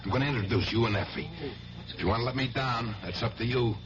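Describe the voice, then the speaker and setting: A man's voice: medium pitch, quick pace, noisy background, close to an everyday voice. An actor playing a breezy, streetwise small-time club owner and showman in a 1970s radio drama. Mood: coaxing. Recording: muffled 1970s radio broadcast, loud music behind.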